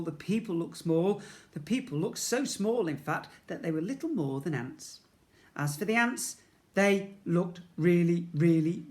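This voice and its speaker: A man reads a storybook aloud for young children. His delivery is expressive and playful, with dramatic emphasis, repeated words and spoken sound effects. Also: on the high side. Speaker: primary school teacher reading to children.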